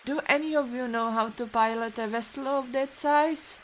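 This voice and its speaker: A woman acting a brusque Russian-accented rescuer, high-voiced. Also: normal speed, lightly acted. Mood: firm, impatient. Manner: formal, clipped commanding phrasing. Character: resolute, brusque woman rescuer, protective and self-reliant. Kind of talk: audio drama acting. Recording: faint hiss with rain behind. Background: rain.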